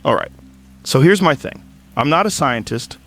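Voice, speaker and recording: A man's voice: on the high side, expressive, moderate pace, a bit bright, a little hiss or hum. A man playing a curious, conversational podcast host on a casual late-night show in an audio drama. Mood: inquisitive. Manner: conversational, inquisitive. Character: curious, open-minded male podcast host, everyman questioner.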